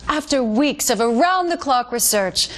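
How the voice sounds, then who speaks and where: A female American news anchor from a video game. Her voice sits fairly high, and she delivers scripted bulletins with measured, formal authority. She comes across as an earnest, polished mouthpiece for the government. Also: expressive, fairly bright tone. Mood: earnest, patriotic. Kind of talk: scripted news broadcast narration.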